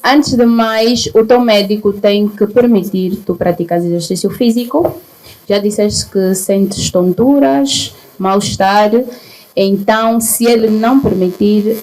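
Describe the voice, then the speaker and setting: A woman with a mid-pitched, mellow voice speaks as a guest trainer on a panel. She gives direct advice in an encouraging, plain-spoken way. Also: a little slow, rough recording. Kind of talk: spoken advice to an audience member.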